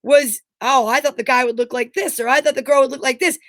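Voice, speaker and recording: A woman's voice: sits high, steady tone, fast, a bit bright, no echo, clean studio sound. A woman co-hosting a casual chat, agreeable and conversational in how she talks. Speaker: co-host. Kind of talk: casual chat, reading out viewer comments.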